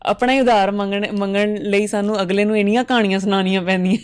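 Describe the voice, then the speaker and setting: A woman's voice: mid-range voice, quick pace, a little hiss or hum. Woman hosting a talk show. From Pakistan. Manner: keeps up steady murmured agreement while the guest talks. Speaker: host.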